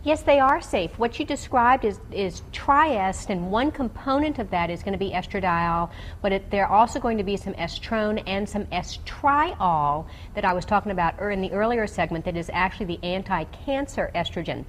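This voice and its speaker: A woman doctor giving explanatory, technical medical advice to callers on a radio call-in show, with hesitant pauses. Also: medium pitch, expressive. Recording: clearly noisy background.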